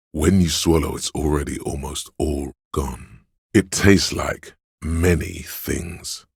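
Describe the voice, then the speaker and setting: A seductive inner demon of addiction from a video game, extremely deep and husky. He narrates theatrically, coaxing and savoring each phrase with indulgent relish, close and dry. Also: no echo, heavily acted. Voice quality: very deep, resonant.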